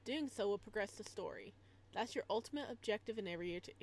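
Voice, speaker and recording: A woman's voice: mid-range voice, a little hiss or hum. A woman live-streaming a game in a casual style, reading on-screen text aloud and adding chatty asides.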